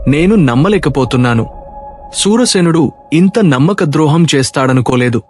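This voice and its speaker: A male actor plays a dutiful, brave king in a folk-tale audio drama. His voice sits a little high for a man, over faint music. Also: lively intonation, normal speed, darkish tone. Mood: troubled, resolute.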